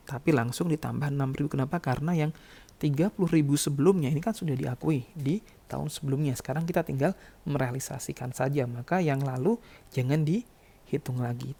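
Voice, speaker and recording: Gravelly, mellow male lecturer. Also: medium pitch. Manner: instructional, repeatedly checking with short tag words. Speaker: accounting lecturer. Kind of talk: step-by-step tutorial explanation.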